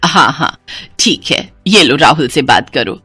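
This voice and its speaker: A woman's voice: deep voice, animated, unhurried, pushed and bright, soft background music, pitched below the performer's own voice, full character voice. A woman voicing an older mother-in-law, firm but affectionate and instructing, in a cartoon story.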